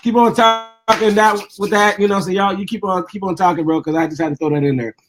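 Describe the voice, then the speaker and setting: A man's voice: high voice, fairly even intonation, quick pace, fairly bright tone, slight background noise. A man hosting a radio interview, talking in a loose, laid-back, repetitive way. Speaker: radio show host. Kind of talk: casual radio interview chat.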